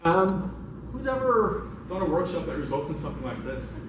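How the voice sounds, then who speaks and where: A man's voice: sits high, sing-song, normal speed. A man from Belgium gives an informal talk as a workshop facilitator, speaking conversationally and engaging his listeners. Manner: conversational, engages the audience with questions. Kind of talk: informal talk to a meetup audience. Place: indoor meetup room. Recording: very echoey, noisy room, far from microphone.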